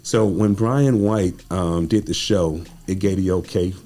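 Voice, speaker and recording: A man's voice: sits low, unhurried, mellow. An American man talking as a guest playwright, telling stories in a conversational, informal and slangy way. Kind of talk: conversational interview storytelling.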